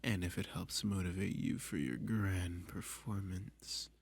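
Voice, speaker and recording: Villain kidnapper played by a male voice actor, ASMR roleplay: slow, gravelly, seductive and possessive, often whispering close and dry. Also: deep voice, fairly even intonation, no echo, full character voice. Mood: amused, coaxing. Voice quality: breathy, husky, often dropping to a whisper. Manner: slow, teasing, seductive, with pet names. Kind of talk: ASMR roleplay addressed to the listener.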